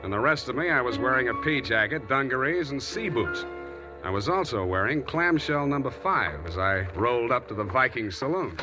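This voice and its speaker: An American actor playing a cynical, hard-boiled private detective in 1940s radio drama, with a dry, sardonic, clipped delivery. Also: male voice, medium pitch, lively intonation, moderate pace, music in the background, lightly acted. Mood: wry narration. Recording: noisy old 1940s radio broadcast.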